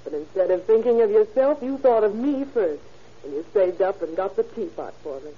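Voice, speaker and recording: A woman's voice: medium pitch, lively intonation, normal speed, slightly rough. A woman playing a devoted, sentimental mother in an old-time radio drama. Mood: tender, doting. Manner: gentle, sentimental. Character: tender, doting, fussing mother. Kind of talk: 1940s radio acting. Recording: muffled old radio recording.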